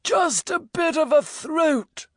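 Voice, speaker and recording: A man voicing a hoarse, ailing gentleman calling from his bed, croaking out reassurances. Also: very high-pitched, drawn-out words, no echo, clean studio sound, voice pushed up, heavily acted. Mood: reassuring.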